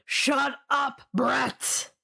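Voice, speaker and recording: Woman voicing a gruff, irritable male camp director. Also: high voice, level tone, very slow, pushed and bright, full character voice. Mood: commanding. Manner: curt, stern, dismissive. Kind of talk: audiobook-style character dialogue.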